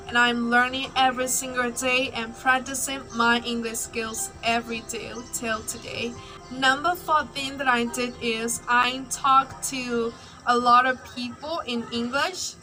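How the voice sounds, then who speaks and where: A woman's voice: on the high side, sharp tone, rough recording. A young woman whose first language is Burmese, chatting casually in a personal vlog with lots of "like" fillers. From Myanmar. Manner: chatty, uses many fillers like "like". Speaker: language-learning vlogger.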